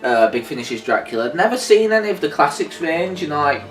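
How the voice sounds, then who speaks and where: A man's voice: fairly high pitch, very animated, fast, a bit bright. A man hosting an unscripted, casual review chat as the presenter of a video. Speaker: video host. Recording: roomy echo, distant mic, music.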